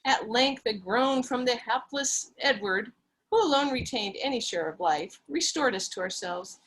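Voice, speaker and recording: A woman's voice: fairly high pitch, expressive, normal speed, a bit bright, rough recording. A woman narrating and acting the lead in a dramatic reading over a video call, with theatrical, melodramatic delivery.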